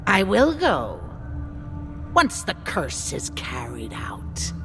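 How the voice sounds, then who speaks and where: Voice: medium pitch, huge pitch swings, slow pace, music in the background, exaggerated voice. An actor voicing a vengeful undead spirit in a video game, sly, taunting and menacing. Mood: mocking menace. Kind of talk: theatrical video game acting.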